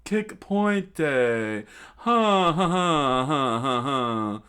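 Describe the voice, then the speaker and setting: A man's voice: medium pitch, unhurried, a bit bright, clean tone, voice pushed up, lightly acted. A man voicing a flamboyant, gloating male villain fighter who taunts theatrically and calls out attack names. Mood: triumphant shouting.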